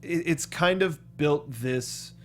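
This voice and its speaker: Male co-host, voice a bit on the high side, casual geek-culture chat, enthusiastic and slangy. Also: slow pace. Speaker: talk show co-host. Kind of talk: casual chat about games and anime films.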